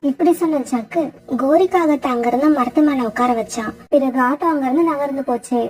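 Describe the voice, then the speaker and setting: A woman with a husky voice narrating a scripted children's story. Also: high-pitched, brisk, darkish tone, rough recording.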